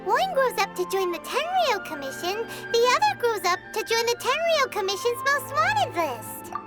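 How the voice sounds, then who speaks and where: A tiny, squeaky companion from a game, voice very high and swooping. Teasing and singsong, with loud music underneath. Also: female voice, very animated, moderate pace.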